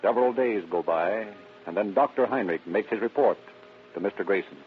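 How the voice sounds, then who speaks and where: A man's voice: lowish voice, a little fast. Casting: American male narrator, 1930s radio style, solemn and dramatic, mid-range, narrow vintage sound over music. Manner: solemn, formal.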